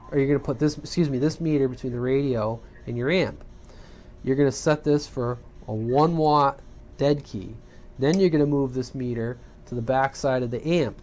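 A man's voice: mid-range voice, a little mellow. A man giving an unscripted, casual hobby radio tutorial in a plain-spoken, colloquial way, often checking in with the listener. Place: indoors at a home workbench.

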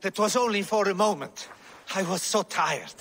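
A man's voice: high voice, animated, moderate pace, vocal fry, fairly bright tone, a little hiss or hum, exaggerated voice. An actor voicing an exhausted, ashamed young Viking watchman, apologetic and meek, in a video game. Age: young adult. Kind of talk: video game cutscene acting.

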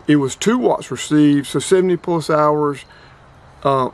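A man in his fifties talks through a radio gear test in a plainspoken, rambling way, often repeating figures. His voice sits a little high for a man. He is recorded close on a camera mic in a small indoor room. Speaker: outdoors and radio hobby vlogger. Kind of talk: casual gear demo and review.

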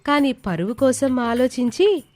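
A woman's voice: high-pitched, lively intonation, measured pace, darkish tone. A woman reading a scripted moral tale as the narrator, in storytelling style. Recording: background music behind the voice. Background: music.

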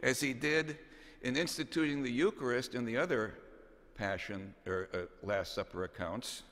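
A man in his sixties, a bishop delivering a homily from notes in a measured, solemn, deliberate way with pauses, speaking into a pulpit microphone in a large cathedral. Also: mid-range voice, fairly bright tone. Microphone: gooseneck pulpit microphone. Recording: long cathedral reverb.

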